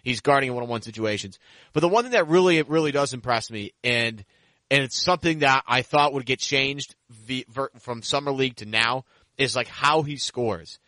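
A man's voice: mid-range voice, normal speed, bright, forceful tone, no room sound. A man hosting a sports podcast, talking basketball in a casual, conversational way.

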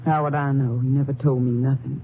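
An actress playing a hard-bitten, resentful rancher's wife in a 1950s radio western, curt and complaining, with rough rural grammar. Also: female voice, very deep voice, level tone, fairly quick. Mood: bitter, scornful. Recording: tinny, noisy 1950s radio recording.

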